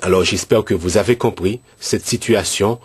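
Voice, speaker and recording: A man who is a pastor, from Haiti, speaking earnestly and in a measured way about his country. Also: fairly low pitch, slightly rough, no echo. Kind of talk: earnest commentary.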